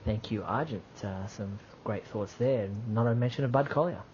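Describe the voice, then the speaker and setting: A man's voice: on the low side, normal speed, a little mellow, a little hiss or hum. A man co-hosting a radio show, chatting casually. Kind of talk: casual talk show chat. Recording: dull lo-fi audio.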